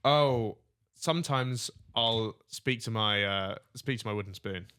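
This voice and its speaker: A man's voice: mid-range voice, unhurried, bright, forceful tone, clear, resonant tone. A man co-hosting a casual comedy podcast, joking around and running a quiz game. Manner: jokey, runs a quiz segment. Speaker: podcast co-host.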